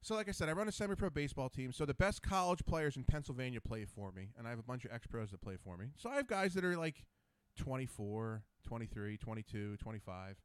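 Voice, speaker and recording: Lively, profane man in his forties. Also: mid-range voice, fast, clean tone, no echo. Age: 40s. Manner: loose, profane, rambling storyteller. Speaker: podcast host. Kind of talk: casual podcast chat.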